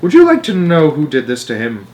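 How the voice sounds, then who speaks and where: Man playing an elderly grandmother, storybook character, unruffled, sly, matter-of-fact, lively melody. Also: a little high, fairly quick, slight background noise, pitched above the performer's own voice. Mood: mischievous. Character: calm, sly old Norwegian grandmother.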